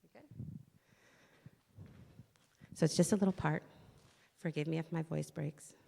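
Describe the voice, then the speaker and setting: A woman's voice: sits low, steady tone, unhurried, vocal fry, mellow. A woman as guest speaker, giving a candid, emotional, personal inspirational talk into a microphone at a spiritual centre. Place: spiritual centre hall, livestream. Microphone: microphone in front of her. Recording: long reverberant hall sound.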